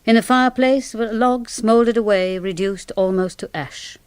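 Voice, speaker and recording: High-voiced British woman doctor, played by an actress. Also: normal speed, clear, resonant tone, close to an everyday voice. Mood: grave narration. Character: anxious, authoritative woman doctor in a murder mystery. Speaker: doctor and narrator. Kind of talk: British radio drama acting and narration.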